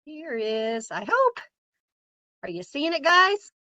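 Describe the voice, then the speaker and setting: A woman children's author, cheerful and animated, reading aloud to kids over a video call. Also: sits high, takes it slowly, no echo, lo-fi sound.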